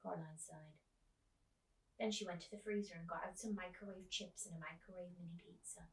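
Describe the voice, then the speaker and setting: A woman reading a children's story aloud as a teacher, with expressive narration. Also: on the low side, fairly quick. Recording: roomy echo, distant microphone.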